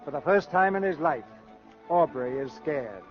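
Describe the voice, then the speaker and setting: Casting: male vintage radio announcer, slightly high voice, dramatic narration over clear music. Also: expressive, moderate pace. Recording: dull old radio broadcast.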